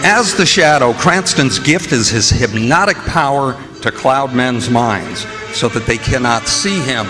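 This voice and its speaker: A man's voice: mid-range voice, measured pace, natural voice. A man narrating as an announcer in a 1940s old-time radio style. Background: music.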